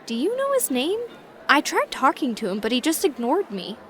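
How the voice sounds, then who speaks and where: A woman playing a friendly, slightly shy new high-school girl from space in a comic fan dub. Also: huge pitch swings, normal speed, a bit bright, full character voice. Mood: hesitant, polite. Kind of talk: comic fan dub acting and first-person narration. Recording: voice over prominent background music.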